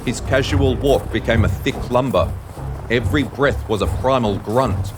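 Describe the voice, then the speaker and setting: A man's voice: medium pitch, moderate pace, music in the background. A man reading scripted narration for an audio drama.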